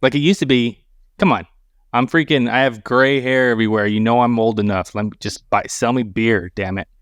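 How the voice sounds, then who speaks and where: A man's voice: medium pitch, fairly even intonation, brisk, no echo, studio quality. A man in his mid-forties chatting casually, repeating words as he goes and swearing freely, in a self-deprecating way. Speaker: podcast guest. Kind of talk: casual banter and storytelling.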